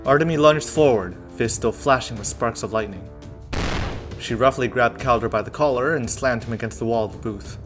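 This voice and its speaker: A man's voice: mid-range voice, moderate pace, soft background music. A man reading scripted story narration from a visual novel in a dramatic style.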